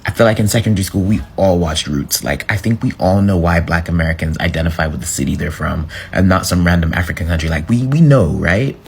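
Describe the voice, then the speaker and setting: Very deep, husky British man. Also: fast talker, hardly any pauses. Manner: chatty, lots of "like". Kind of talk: casual reaction video.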